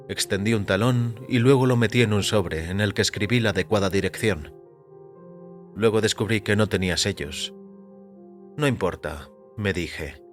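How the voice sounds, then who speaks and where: A man reading scripted first-person fiction as narrator, in a measured, composed manner. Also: on the low side. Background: music.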